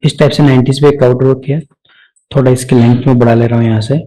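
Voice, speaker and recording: A man giving a step-by-step software tutorial off the cuff, mixing English technical terms into his speech. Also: mid-range voice, steady tone, fast, rough recording. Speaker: software instructor.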